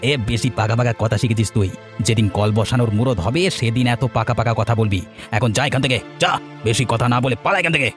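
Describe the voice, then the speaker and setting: A man's voice: mid-range voice, fast, faint music behind, natural voice. A man voicing a rude, scornful villager in a cartoon story, with a brusque, dismissive manner. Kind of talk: Bengali cartoon story voice acting.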